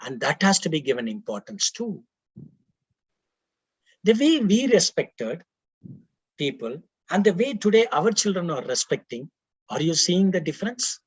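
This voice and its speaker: A man's voice: sits high, big pitch swings, unhurried, long pauses, slightly rough, no echo, poor audio. A man with an Indian accent whose first language is Tamil, speaking as a webinar panelist with rhetorical questions and frequent restarts over a video call. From Karnataka, India. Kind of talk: earnest panel talk.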